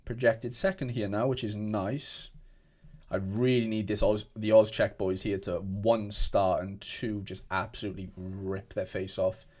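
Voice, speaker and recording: Young man, from Wales, fairly low voice, casual livestream chatter, rambling restarts, home desk setup. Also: little pitch movement, measured pace, a little mellow. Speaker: streamer. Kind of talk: casual livestream chat reading viewer comments. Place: indoor home room at a desk.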